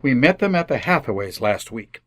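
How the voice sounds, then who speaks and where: A man's voice: mid-range voice, big pitch swings, normal speed, dry recording, lightly acted. A man playing an earnest, stubborn and easily flustered suburban father in an old-time radio family comedy. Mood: defensive. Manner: earnest, defensive.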